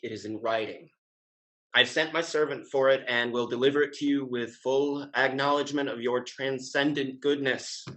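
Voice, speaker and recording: A smooth-talking gentleman rake from a Restoration comedy, acted by a man. He has a mid-pitched voice and pleads in measured, florid phrases. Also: fairly even intonation, lightly acted, low-quality recording. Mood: deferential. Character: suave, flattering gentleman rake playing the penitent lover. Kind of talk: scripted stage comedy acting.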